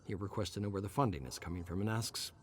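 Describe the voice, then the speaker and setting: A man's voice: sits low, fast, slight background noise. A man narrates a scripted story in a dramatic, theatrical and suspenseful way.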